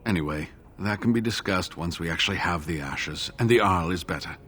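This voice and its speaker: An actor playing a weary, earnest scholar in a fantasy video game, speaking in a measured, formal, rueful way. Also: male voice, low-pitched, a bit bright, a little hiss or hum.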